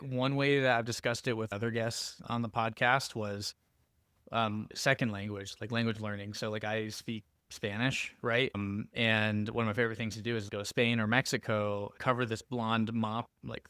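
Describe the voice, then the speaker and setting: A man with a fairly low voice hosts a podcast in a casual, conversational way. His delivery is informal and halting, with frequent self-corrections. The sound is dry, with very little room around him. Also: a bit bright, clean tone, dry recording. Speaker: podcast host.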